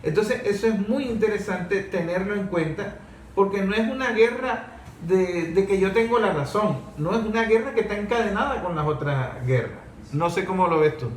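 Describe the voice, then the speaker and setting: A man's voice: high-pitched, expressive, moderate pace. A man hosting an opinionated political conversation, speaking emphatically with repetition and rhetorical questions. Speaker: host of a history and politics programme. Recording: roomy, distant microphone, background noise.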